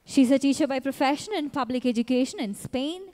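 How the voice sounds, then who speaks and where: A woman announcer reading scripted introductions of pageant contestants in a measured, even way. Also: sits high. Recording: long hall reverb.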